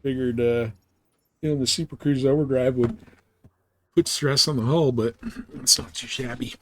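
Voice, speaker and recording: A man's voice: mid-range voice, steady tone, normal speed. A man giving casual live commentary as he plays a game, thinking aloud as he goes. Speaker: game streamer.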